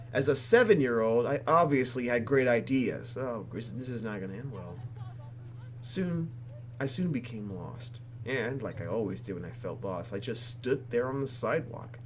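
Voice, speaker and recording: A man's voice: medium pitch, a little hiss or hum. A man reading a video game's story aloud in a playful, theatrical way, breaking off for asides as he hosts. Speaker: let's play host reading a game aloud. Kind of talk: expressive reading of game narration with asides.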